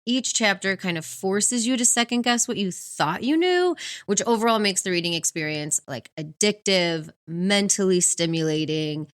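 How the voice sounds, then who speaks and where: A woman's voice: mid-range voice, lively intonation, normal speed, few pauses. A woman co-hosting a book podcast, talking conversationally and enthusiastically as she recommends books. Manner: enthusiastic, chuckles often.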